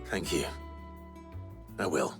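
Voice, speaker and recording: An actor playing an earnest, brooding, battle-worn hero in a video game, with a husky, breathy rasp. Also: male voice, very deep voice, slow, music in the background, exaggerated voice. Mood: quiet, warm. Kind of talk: video game cutscene acting.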